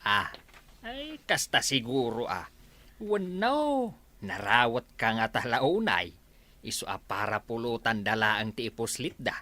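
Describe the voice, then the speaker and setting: A man's voice: mid-range voice, sing-song, unhurried, sharp tone. An actor with an Ilocano accent playing an eager, gullible village man in a comedy radio drama about petty thieves. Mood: wary.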